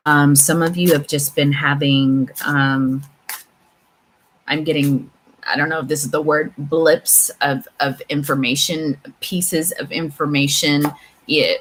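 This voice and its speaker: A woman tarot reader speaking reflectively and haltingly to her viewers, repeating phrases for emphasis as she gives intuitive spiritual guidance. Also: sits low, slight background noise, poor audio. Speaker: tarot reader and channel host.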